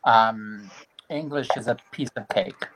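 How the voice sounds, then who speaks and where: A man from Croatia, a native Croatian speaker, chatting in a relaxed, rambling way. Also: lowish voice, measured pace, background noise. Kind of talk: casual group chat.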